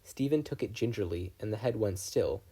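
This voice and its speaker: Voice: medium pitch, lively intonation, brisk, mellow, breathy edge. A narrator reading fan fiction aloud in a plain storytelling manner. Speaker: podfic reader and narrator.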